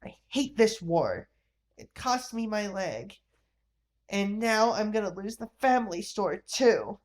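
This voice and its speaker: A woman's voice: mid-range voice, lively intonation, takes it slowly. A woman voicing an embittered middle-aged man, a one-legged war veteran, who speaks in a weary, downhearted and plain way. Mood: bitter.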